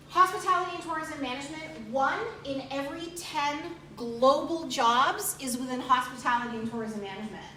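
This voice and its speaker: A woman presenter with a fairly high voice, speaking emphatically and repeating her key phrases. She stands away from the mic, so the room rings around her. A light hiss sits under the recording. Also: a bit bright. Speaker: program presenter. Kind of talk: upbeat recruiting presentation. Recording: echoey room, far from mic.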